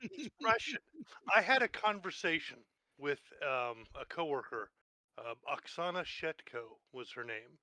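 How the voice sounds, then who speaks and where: A man's voice: a little high, animated, measured pace, pushed and bright, no room sound. A man playing a tabletop game, chatting casually and telling anecdotes.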